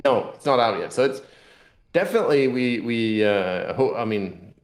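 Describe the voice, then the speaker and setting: A man speaking as a game publisher in a casual interview, explaining things haltingly and often repeating words. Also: mid-range voice, expressive. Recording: echoey room.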